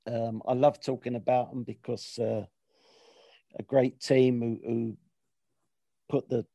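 Gravelly guy hosting a podcast, rambly and hesitant, dry sound. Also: medium pitch, little pitch movement, takes it slowly, dark tone, dry recording. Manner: rambling, hesitant, full of restarts. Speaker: podcast host. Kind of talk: casual chat.

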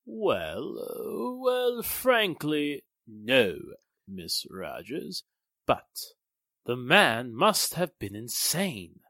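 Actor as theatrical, excitable 1940s radio drama businessman. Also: mid-range voice, extremely slow, no room sound, clean studio sound, exaggerated voice. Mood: flustered, insistent. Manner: theatrical, emphatic, faltering when challenged. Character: excitable, evasive businessman Mister Lawton, a murder suspect.

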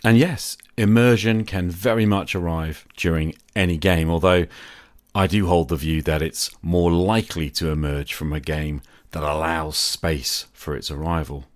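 A man with a very low voice hosts a podcast. He talks reflectively on his own, in a dry recording with very little room sound. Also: steady tone, normal speed, dry recording. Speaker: podcast host.

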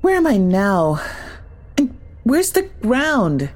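A woman's voice: a little high, very animated, slow, a little mellow, full character voice. A woman playing a bewildered, skeptical newcomer in an audio drama, questioning warily. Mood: bewildered, wary. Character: bewildered, skeptical woman newly stranded in strange place.

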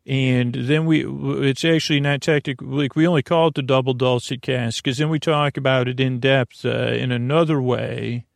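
A man with a creaky voice hosting a sleep podcast, rambling to the listener in a meandering, tangled, self-deprecating way. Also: mid-range voice, steady tone, normal speed, hardly any pauses, no echo, studio quality. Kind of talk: rambling bedtime monologue to the listener.

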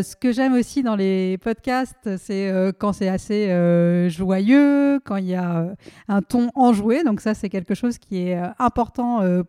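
Woman, smooth clear voice, reflective and hesitant. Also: medium pitch, a little mellow. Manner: thoughtful, hesitant with fillers. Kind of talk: interview answer.

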